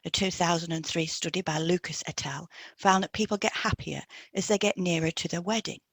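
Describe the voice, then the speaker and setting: A woman reads educational narration from a script as a co-host. Her pitch is a touch low and her tone is breathy and husky. The sound is dry, with no room around it. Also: fairly quick, a bit bright, no room sound. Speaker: podcast co-host and hypnotherapist.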